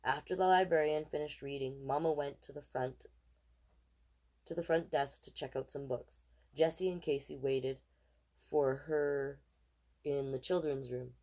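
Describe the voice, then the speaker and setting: A woman reading a children's picture book aloud in an expressive, animated way, with casual asides. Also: low-pitched, unhurried. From Canada. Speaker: storytime reader.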